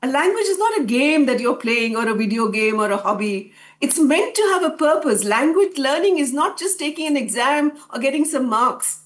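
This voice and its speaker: A woman professor arguing on a studio panel, with a high voice. She makes her case forcefully and drives her points home with rhetorical questions. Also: expressive, moderate pace, hardly any pauses. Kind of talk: impassioned debate argument.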